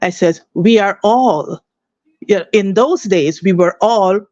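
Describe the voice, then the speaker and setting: A woman's voice: medium pitch, measured pace, a little mellow, no room sound, lo-fi sound. An older woman from Guyana, telling her story earnestly as a guest and often saying "you know" and "eh". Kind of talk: conversational storytelling.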